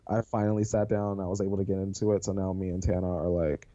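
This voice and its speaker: Lively, slangy podcast co-host, lo-fi sound. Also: deep voice, quick pace. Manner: animated, slangy, swears and laughs often. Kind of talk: casual chat.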